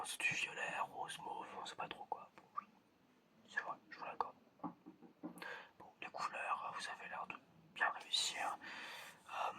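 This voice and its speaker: Male ASMR performer, whispered and unhurried, as a teasing, hesitant examiner repeating phrases to the listener. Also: bright, forceful tone. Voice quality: breathy, unvoiced whisper. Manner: hesitant, repetitive, teasing patter addressed to the listener. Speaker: ASMR creator playing an eye examiner.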